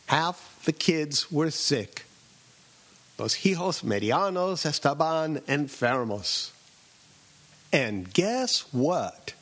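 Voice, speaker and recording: A middle-aged man from Southern California narrating a scripted personal story as host, with a wry, deadpan delivery and dramatic pauses. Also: fairly high pitch. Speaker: radio essayist and host.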